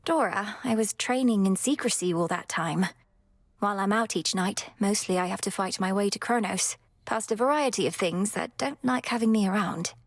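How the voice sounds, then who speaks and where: A woman voicing a determined young witch princess in a video game, speaking in formal, measured, old-fashioned phrasing. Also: mid-range voice, close to an everyday voice. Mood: resolute.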